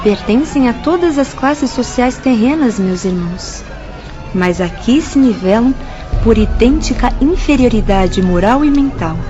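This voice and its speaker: An actress playing a grave, moralizing nun who guides students, solemn and didactic in a radio drama style with a Brazilian accent. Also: female voice, fairly high pitch, lively intonation, dull audio, music in the background. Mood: stern, pitying. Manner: solemn, didactic, deliberate emphasis. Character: grave, moralizing nun guiding spirit students through asylum.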